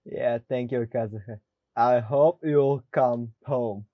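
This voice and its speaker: A man's voice: mid-range voice, steady tone, slow, no room sound. A man giving casual commentary on his own video game playthrough through a lo-fi microphone. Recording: dull lo-fi microphone.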